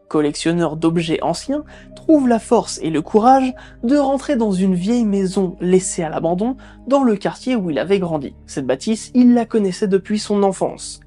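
Mellow-voiced woman narrating an occult story. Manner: lively, sarcastic asides with squeaky put-on voices. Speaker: host.

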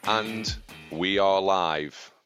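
A man co-hosting a running podcast, his voice husky from a cold, chatting casually and laughing often at himself. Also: low voice, very slow, fairly bright tone, faint music behind. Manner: laughs often, self-mocking. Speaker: podcast co-host, aspiring runner. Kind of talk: casual chat. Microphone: studio microphone.

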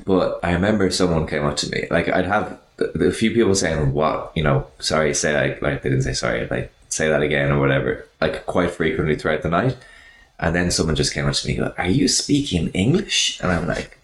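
A man's voice: very deep voice, brisk, vocal fry, reverberant. An Irish man co-hosting a podcast, telling a story in casual chat. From Ireland. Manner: chatty anecdotal storytelling. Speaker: podcast co-host.

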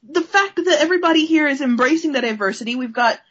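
A woman chats as an enthusiastic podcast co-host. Her voice is high and swings widely in pitch, captured on slightly muffled, lo-fi audio. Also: lively intonation, fast talker, a bit bright. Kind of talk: casual fan discussion.

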